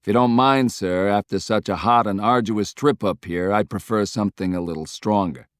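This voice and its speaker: A man's voice: on the low side, moderate pace, clear, resonant tone, no echo, clean studio sound, natural voice. A man voicing a self-assured army general in an audiobook, formal and politely insolent. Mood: breezy insolence.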